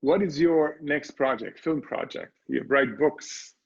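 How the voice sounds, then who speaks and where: A man's voice: on the high side, measured pace, vocal fry, husky, muffled recording. A man interviewing a filmmaker, asking brief, encouraging questions.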